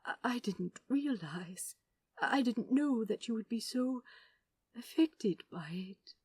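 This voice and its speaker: A woman voicing a kindly, gentle male angel who murmurs with soft, hesitant concern. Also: a little high, animated, takes it slowly, breathy edge, no echo, pitched above the performer's own voice, heavily acted. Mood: tender concern.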